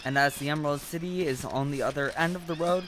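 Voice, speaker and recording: An actor playing a friendly, earnest scarecrow in a stage audio play, with deliberate theatrical phrasing. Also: mid-range voice, a crowd in the background, close to an everyday voice. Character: living scarecrow, friendly, helpful, earnest companion. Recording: live stage recording with faint noise.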